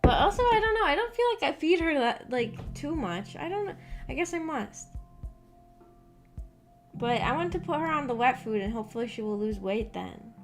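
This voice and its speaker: A woman with a fairly high voice chats on a livestream over background music. She talks in a loose, rambling way, pausing and restarting as she answers her viewers. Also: lively intonation. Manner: rambling, hesitant storytelling with frequent "like". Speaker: streamer. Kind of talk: casual livestream chat, reading and answering viewer comments.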